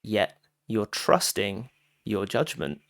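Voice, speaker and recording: A man giving a guided meditation talk as a teacher, phrasing deliberately with frequent pauses and addressing listeners directly. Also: lowish voice, animated, creaky, fairly bright tone, no echo, clean studio sound.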